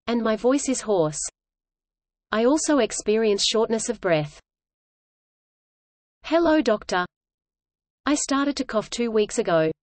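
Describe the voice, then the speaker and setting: A woman reading an English lesson dialogue aloud in a measured, even way, repeating each line for learners. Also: on the high side, no room sound.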